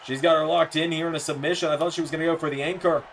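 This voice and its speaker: A man doing play-by-play commentary for a wrestling video game, his voice a bit hoarse and softer than usual, with slips and self-corrections. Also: on the high side, slight background noise. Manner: rapid play-by-play, rising excitement on near-falls.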